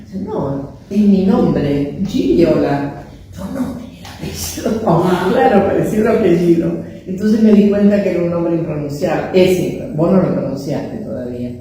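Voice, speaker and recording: Older poet, unhurried, gently funny storyteller; distant mic, noisy background. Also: female voice, fairly low pitch. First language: Italian. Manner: reflective, anecdotal, quietly humorous. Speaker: poet being interviewed. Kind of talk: relaxed conversational interview. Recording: echoey room, distant mic, background noise.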